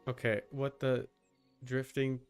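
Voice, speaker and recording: A man's voice: medium pitch, flat delivery, takes it slowly, soft background music. A man giving casual live gaming commentary as a streamer, informal, with muttered asides and mild swearing. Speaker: video game streamer.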